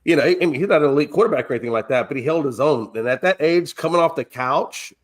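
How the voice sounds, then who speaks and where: A man on a sports talk panel speaks over a video call with a slightly raised pitch. He rambles through his points, stacking fillers as he thinks aloud in casual chat. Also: fast. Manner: rambling, heavy on "I mean" and "you know".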